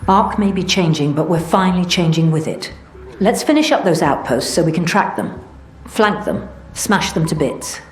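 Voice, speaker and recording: A woman's voice: on the low side, lively intonation, normal speed, few pauses, roomy sound, close to an everyday voice. An actress playing a determined woman who rallies a resistance in a sci-fi video game, purposeful and instructive. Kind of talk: video game cutscene acting.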